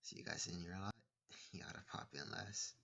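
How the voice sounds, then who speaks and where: A man playing a nosy, muttering store cashier in an audio roleplay, quiet and distant. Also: deep voice, fairly even intonation, a little fast. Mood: bored, prying. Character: nosy, mumbling liquor store cashier, male. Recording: quiet, distant, clearly noisy.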